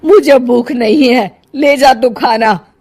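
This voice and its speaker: Storybook role: aging, doting, scolding mother, high and plaintive, unhurried woman acting it. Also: a little mellow, full character voice. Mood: sad, plaintive. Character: sad, snappish elderly Indian mother, doting on her son.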